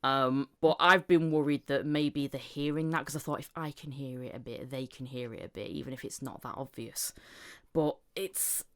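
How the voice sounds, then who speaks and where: A woman with a lowish voice hosting a casual podcast chat, animated and quick to laugh as she talks. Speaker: podcast host and interviewer.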